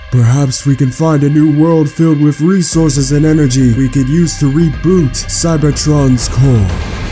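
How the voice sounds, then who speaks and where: A man's voice: a little high, warm tone, close to an everyday voice. A man voicing a coldly logical robot scientist villain, dictating formal, precise audio logs with a clinical, condescending manner in a fan-made sci-fi drama. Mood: calculating.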